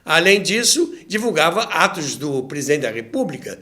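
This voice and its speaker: A man's voice: fairly high pitch, big pitch swings, moderate pace, bright, forceful tone. A Brazilian man hosting and narrating a scripted history documentary, explaining things in a didactic way with conversational asides to the listener. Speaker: host and narrator of a radio history show.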